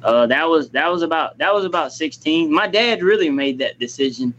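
A man's voice: a little high, expressive, brisk, fairly bright tone, lo-fi sound. A man in his twenties answering interview questions casually, restarting his phrases and replying politely with "yes, sir.". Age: 20s. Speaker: guest, young business owner.

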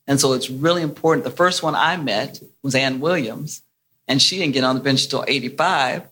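A man speaking off the cuff as a panelist, in a halting way with repeated words. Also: mid-range voice, pushed and bright, a little hiss or hum. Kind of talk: spontaneous panel talk.

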